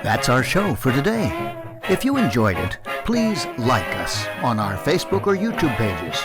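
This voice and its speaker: Male show presenter, lively intonation, scripted outro, loud music underneath. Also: medium pitch, moderate pace. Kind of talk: scripted sign-off appeal to listeners.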